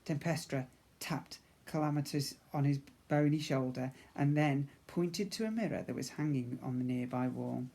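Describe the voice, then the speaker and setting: A man reading a children's story aloud in an expressive narrating style, as a teacher would for young pupils. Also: medium pitch, normal speed, creaky.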